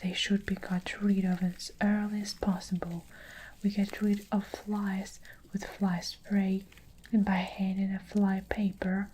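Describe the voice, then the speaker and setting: A woman's voice: mid-range voice, level tone, a little slow, darkish tone, slight background noise, close to an everyday voice, poor audio. A woman whispering the lines of a furious, child-hating giant of a headmistress who snarls insults. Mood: scornful.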